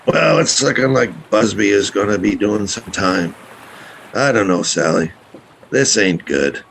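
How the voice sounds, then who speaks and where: An actor as a folksy sea captain with a gravelly, lowish voice. He is plainspoken, in the manner of radio drama, with slight noise behind him. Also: animated, measured pace, a bit bright, exaggerated voice, poor audio. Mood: resigned, wry. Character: folksy boat captain telling his tale. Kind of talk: radio play acting. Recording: faint background noise.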